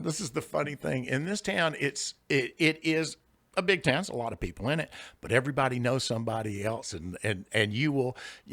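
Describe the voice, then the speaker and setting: Gravelly older man spinning anecdotes. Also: mid-range voice, fairly bright tone, no echo. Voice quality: big bluesy singer's voice. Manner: chatty anecdotal, jokey asides. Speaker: singer-songwriter guest. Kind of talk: casual interview storytelling. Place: recording studio.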